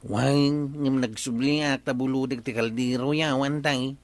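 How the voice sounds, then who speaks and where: Easygoing mid-pitched Ilocano man, played by an actor. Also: fairly even intonation, a little slow, close to an everyday voice. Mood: casual, coaxing. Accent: Filipino, Ilocano. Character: easygoing village man asking to borrow things. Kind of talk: broadcast radio comedy drama acting.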